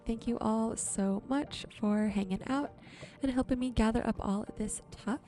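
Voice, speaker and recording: A woman doing casual, soft-spoken ASMR commentary while playing a game, murmuring to viewers in an unhurried way. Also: fairly high pitch. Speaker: video creator and host. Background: music.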